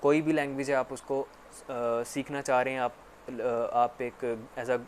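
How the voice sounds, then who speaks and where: A man giving a casual spoken English lesson, repeating himself often and filling pauses with "actually". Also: medium pitch, steady tone, a little hiss or hum. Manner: repetitive, filler-heavy explaining with frequent "actually". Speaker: English teacher.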